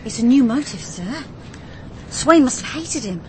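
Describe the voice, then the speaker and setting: An actress playing a brisk, keen junior police detective with a direct manner in a radio drama. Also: female voice, high voice, lively intonation, mellow, a little hiss or hum, low-quality recording. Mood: keen, emphatic. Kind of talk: radio drama acting and narration.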